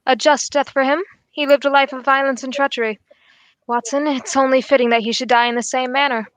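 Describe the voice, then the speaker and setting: A woman plays a shrewd gentleman detective in an old-style radio drama. The voice is high and recorded close and dry. The delivery is composed and formal, setting out each point in measured phrases. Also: steady tone, smooth, dry recording, rough recording. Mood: calm, grave.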